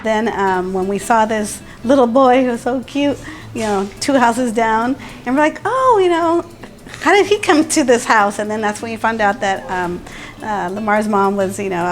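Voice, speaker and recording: A woman chatting and telling stories about a childhood neighbor, slipping into bits of Spanish. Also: mid-range voice. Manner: chatty storyteller, slips into Spanish. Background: soft music.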